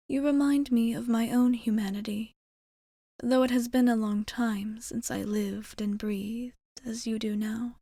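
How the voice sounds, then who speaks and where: Woman acting a slow, whispery, tender vampire woman. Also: on the high side, no echo, heavily acted. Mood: wistful, tender. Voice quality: soft, breathy, half-whispered. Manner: slow, measured, formal, intimate. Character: ancient female vampire, tender, formal, quietly lovestruck bar owner. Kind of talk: ASMR roleplay. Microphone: close microphone.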